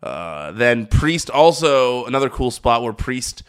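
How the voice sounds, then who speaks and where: Smooth-voiced enthusiastic American man. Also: medium pitch, very animated, unhurried. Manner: enthusiastic, chatty, emphatic repetition. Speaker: podcast host. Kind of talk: casual solo recap commentary on wrestling.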